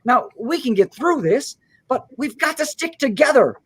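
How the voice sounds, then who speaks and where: A man plays a kind-hearted small-town loan manager in a radio drama, his pitch swinging widely. He stammers and halts, flustered and earnest, as if always trying to persuade. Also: big pitch swings, husky, heavily acted. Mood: desperate, pleading. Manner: stammering, halting, pleading. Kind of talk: live radio play acting.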